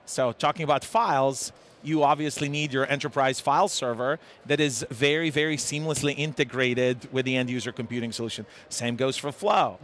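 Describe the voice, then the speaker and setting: A man in his forties from Serbia with a Serbian accent, speaking haltingly with many fillers as a guest in a live conference interview. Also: mid-range voice, fairly even intonation, fairly bright tone, slight background noise. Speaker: tech executive guest. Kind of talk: live trade-show interview. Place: busy conference hall. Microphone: headset mic. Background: crowd chatter.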